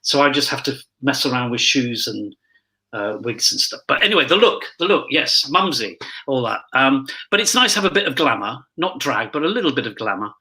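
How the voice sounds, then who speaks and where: A man's voice: medium pitch, very animated, a little fast, pushed and bright, poor audio. A man chatting casually on a remote call, rambling along with asides as a pantomime performer. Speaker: pantomime dame performer, panel guest. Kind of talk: casual chat over video call. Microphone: earpiece microphone on a remote call.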